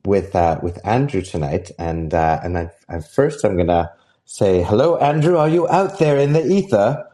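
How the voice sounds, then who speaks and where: A young man hosting a podcast, his voice sitting on the low side. He speaks in a casual, halting way, often pausing on fillers and starting his sentences over. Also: warm tone. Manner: halting, heavy with fillers and restarts. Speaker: podcast host. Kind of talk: casual interview chat.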